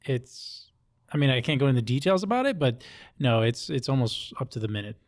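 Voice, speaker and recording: Middle-aged male, medium pitch, informal plain-spoken podcast host in easy conversation. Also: sing-song, brisk. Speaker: podcast host, police officer. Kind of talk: casual podcast chat.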